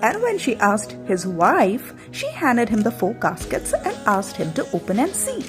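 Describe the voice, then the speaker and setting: A woman narrating a scripted folktale as a storyteller, in an expressive, dramatic manner. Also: on the high side, unhurried. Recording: music behind the narration.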